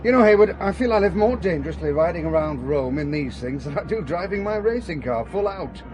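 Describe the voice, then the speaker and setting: Actor as a breezy, lively, highish-voiced gentleman. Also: fairly quick, slight background noise, lightly acted. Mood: urbane guiding. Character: breezy wealthy cotton manufacturer and racing driver. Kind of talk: audio drama acting.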